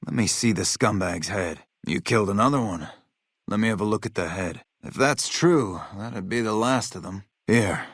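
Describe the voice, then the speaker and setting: An actor playing a hard-bitten, vengeful military officer in a video game, blunt, profane and matter-of-fact. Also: male voice, fairly low pitch, a bit bright, no echo, clean studio sound. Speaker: game character, army officer.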